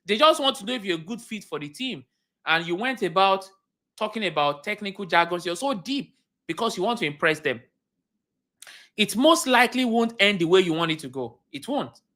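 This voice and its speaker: A woman's voice: mid-range voice, lively intonation, moderate pace, fairly bright tone. A woman with a Nigerian accent coaching viewers on a livestream. She talks emphatically, repeats her key points and puts questions to her audience. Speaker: cybersecurity career coach. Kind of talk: livestream teaching talk.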